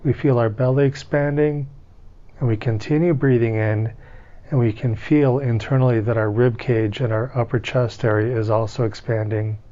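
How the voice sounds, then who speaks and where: A man leading a guided meditation in a calm, gentle, unhurried manner, with long pauses between instructions. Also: mid-range voice, muffled recording.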